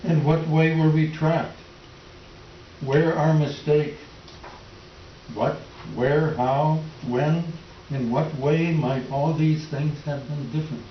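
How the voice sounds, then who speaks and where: A man's voice: a little high, steady tone, slow. A man playing a worn-out, despairing Depression-era tenant farmer in a plaintive staged reading with rural folk phrasing. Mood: mournful, wondering. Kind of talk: readers theater dramatic monologue. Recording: distant mic, echoey room, faint noise, dull.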